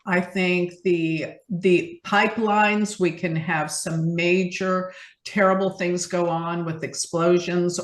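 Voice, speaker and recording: Female guest expert, lower register, conversational forecasting commentary, roomy echoing video-call sound. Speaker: guest astrologer and market forecaster.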